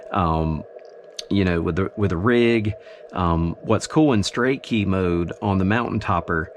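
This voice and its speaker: A man with a very low voice talks casually through a hands-on hobby demonstration. He explains things in an unscripted, halting way, working out his thoughts as he goes. The home recording carries some background noise. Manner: halting, thinking aloud as he explains. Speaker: amateur radio hobbyist demonstrating his gear. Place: indoors in a home radio shack. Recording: clear steady background noise.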